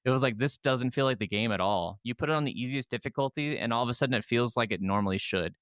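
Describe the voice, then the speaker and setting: A man's voice: mid-range voice, quick pace, a bit bright, no room sound, studio quality. A man co-hosting a gaming podcast in casual, informal talk with some swearing. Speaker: podcast co-host.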